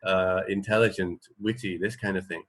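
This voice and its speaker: A man co-hosting a radio show in casual chat, thinking aloud in a halting way. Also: deep voice, fairly even intonation, a bit bright.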